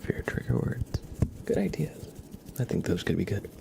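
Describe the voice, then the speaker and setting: A man hosting an ASMR livestream, chatting casually in a soft, breathy, often whispered voice with slow, halting, rambling delivery. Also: mid-range voice, big pitch swings. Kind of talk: ASMR, casual chat with viewers. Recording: clear background noise.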